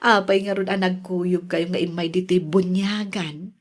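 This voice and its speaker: A woman's voice: on the low side, steady tone, normal speed, lightly acted. A woman playing a nosy, teasing village confidante with an Ilocano accent in a radio drama. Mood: teasing, curious.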